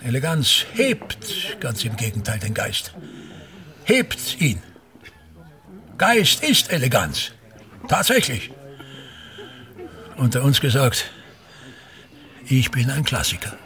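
A man's voice: medium pitch, big pitch swings, slow pace, pauses often, bright, forceful tone, heavily acted. An actor playing a pompous, self-important older theatre man and tyrannical father, declaiming disdainfully and repeating his phrases in a radio drama. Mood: grandiose.

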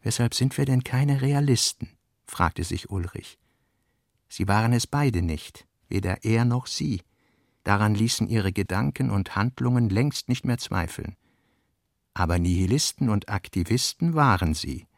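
A man narrating in a literary radio drama in a measured way, also reading diary notes aloud. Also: fairly low pitch, expressive, dry recording. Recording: dry close studio sound.

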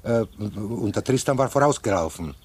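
A courteous, chatty dog owner in a radio play. The man's voice is rough and husky and rises and falls freely, halting as he searches for words. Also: lowish voice, lively intonation, darkish tone. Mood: wry, polite. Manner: halting, hemming, polite. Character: hesitant, talkative, courteous man walking his dachshund. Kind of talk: 1980s German radio drama acting.

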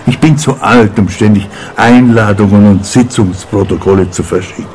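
A man's voice: lowish voice, normal speed, darkish tone, a little hiss or hum, lightly acted. A man playing a grumpy older amateur scholar in a radio crime play, opinionated and dismissive. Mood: grumbling. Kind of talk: radio crime drama dialogue.

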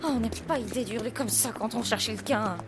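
Une femme jouant une compagne moqueuse. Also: female voice, on the high side, brisk, lightly acted. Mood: wry. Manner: dry teasing remarks. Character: sharp, wry young woman companion. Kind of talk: French audio drama. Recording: music behind.

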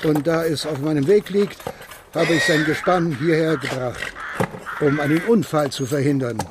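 A man's voice: on the high side, moderate pace, very noisy, close to an everyday voice. An actor playing a polite rural man in a radio drama, courteous and matter-of-fact in manner. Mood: polite, concerned. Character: polite rural man reporting a stray horse and cart.

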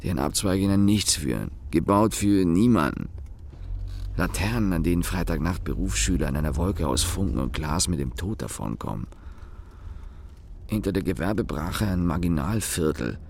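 A man's voice: deep voice, expressive, moderate pace, creaky, darkish tone, slight background noise. An actor playing a bitter, cynical working man who mutters his thoughts in clipped, cursing fragments in a radio play. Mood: bleak disdain. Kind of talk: radio play interior monologue.

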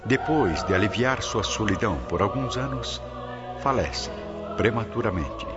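Low Brazilian male narrator over loud music. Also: lively intonation, a little slow. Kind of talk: dramatic scripted radio drama narration. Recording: dull lo-fi audio under loud music.

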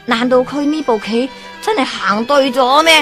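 A woman's voice: sits high, normal speed, a bit bright, soft background music, voice pushed up. A woman doing a fretful young manservant. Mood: alarmed. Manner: urgent, fretful.

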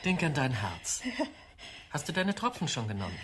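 A dutiful nephew in a radio drama. He is courteous and soothing on the surface, with a deadpan delivery that hides a scheming nature. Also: medium pitch, normal speed, a little hiss or hum.

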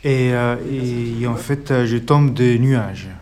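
A man plays a bewildered local resident, halting and stammering through his words. He speaks slowly in a mid-pitched voice. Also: steady tone, a crowd in the background, lightly acted.

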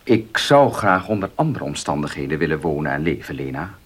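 Embittered radio-drama farmer, low voice, husky edge, gruff, earnest, animated intonation. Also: male voice, brisk, exaggerated voice. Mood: earnest, pleading. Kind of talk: Dutch radio drama acting.

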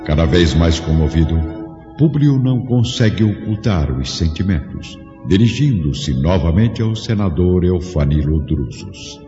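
A man's voice: low voice, sing-song, a little slow, dark tone. A man narrating a radio play in a solemn, dramatic way. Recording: dull audio with long reverb and music.

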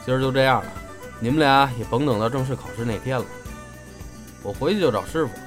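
A man's voice: medium pitch, fast, music in the background, natural voice. A man playing a good-natured but blunt senior comedy apprentice in an audio drama, bantering. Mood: teasing. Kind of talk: audio drama acting, colloquial dialogue.

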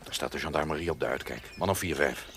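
An actor playing a wary rogue and travelling companion in a period audio drama, guarded and terse. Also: male voice, very deep voice, fast talker, a bit bright, a little hiss or hum.

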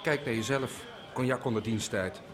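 A man's voice: mid-range voice, moderate pace, a crowd in the background, lightly acted. An actor in a radio drama playing a curt, irritable, principled policeman. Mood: surly. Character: surly, principled male policeman.

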